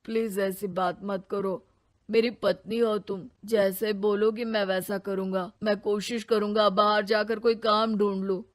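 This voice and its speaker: A woman voicing a gentle, meek young husband in a cartoon who pleads softly. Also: mid-range voice, little pitch movement, unhurried, close to an everyday voice. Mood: pleading. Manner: soft, pleading.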